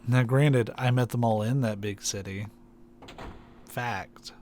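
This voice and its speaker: A man's voice: mid-range voice, steady tone, takes it slowly, darkish tone, a little hiss or hum. A man from Kentucky chatting casually while playing a game on stream, rambling with lots of fillers and restarts. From Kentucky, USA.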